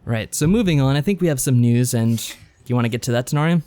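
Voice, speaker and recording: A man's voice: medium pitch, quick pace, dark tone. An American man co-hosting a podcast in casual chat, laid-back and dryly sarcastic. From United States. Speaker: podcast co-host.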